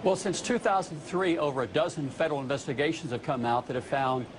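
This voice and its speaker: A man's voice: on the high side, fast talker, noisy background. A middle-aged man speaking as a guest on live TV from a remote studio, blunt and emphatic, reeling off figures. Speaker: small business advocate guest. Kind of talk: live TV interview. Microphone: remote studio camera.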